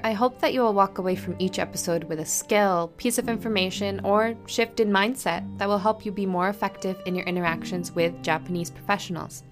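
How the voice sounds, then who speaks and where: A woman hosts an instructional podcast and explains each step in a clear, friendly teaching voice. Her pitch sits in the middle range. She slows down and says each Japanese phrase carefully for listeners to repeat. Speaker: podcast host and business culture teacher. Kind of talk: scripted podcast lesson.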